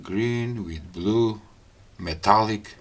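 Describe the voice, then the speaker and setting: A man with a fairly low voice and a non-native English accent talks through a gadget demonstration. His delivery is very slow and casual, broken into short phrases with pauses between them. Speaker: gadget review host. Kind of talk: casual hands-on unboxing and product demo talk.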